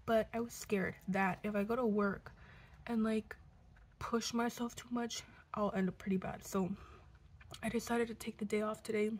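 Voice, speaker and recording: A sick young woman in her twenties, hoarse and congested, chatting casually to a close camera at home, cursing and coughing now and then. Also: mid-range voice, fairly even intonation, normal speed. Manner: chatty, swearing, coughs between lines. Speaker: vlogger. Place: living room at home. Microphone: camera close to face.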